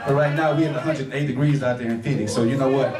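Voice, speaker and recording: A man's voice: medium pitch, fast talker. A man from Detroit, a jazz horn player, chatting casually with the audience between songs, his voice a little worn. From Detroit, Michigan. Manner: relaxed, chatty, asks the crowd questions. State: losing his voice. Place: live club show with audience. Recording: roomy live club recording from a distance, crowd noise.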